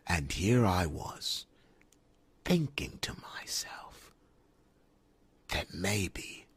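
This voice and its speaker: A very low, husky, breathy demon, acted out by a man, gloats and taunts with cruel relish. The words come extremely slowly, often sinking into whispers, as fantasy ASMR roleplay. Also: sing-song, no echo, exaggerated voice. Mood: mocking threat. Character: sadistic gloating demon servant of a dark queen.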